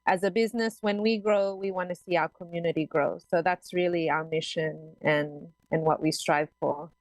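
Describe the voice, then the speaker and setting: A woman guest on a radio show describing her family's resort, relaxed and friendly. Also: fairly low pitch, normal speed, no room sound. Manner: relaxed, friendly, laughs easily. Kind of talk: casual radio interview.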